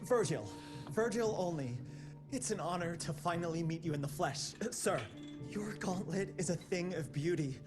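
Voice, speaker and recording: Eager, nerdy young engineer, animated. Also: male voice, high voice, normal speed, over music. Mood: starstruck. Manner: gushing, deferential. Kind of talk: dramatic video game acting.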